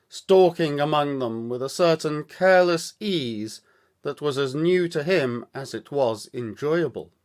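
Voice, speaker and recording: A man with a fairly high voice narrates a story at a very unhurried pace. His delivery is deliberate and theatrical, shaped like an actor reading for a children's storytime. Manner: measured, deliberate, dramatic phrasing.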